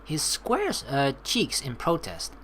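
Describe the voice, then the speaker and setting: A woman's voice: sits low, slow pace, a little hiss or hum. A woman hosting a let's play, reading game text aloud and adding chatty, informal commentary. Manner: animated, informal, chatty asides.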